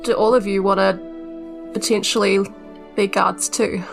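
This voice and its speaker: A woman chatting casually as a tabletop game player, feeling her way through ideas in halting phrases. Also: mid-range voice, steady tone, gravelly, faint music behind. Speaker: player in a tabletop RPG podcast. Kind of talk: casual improvised in-game chat.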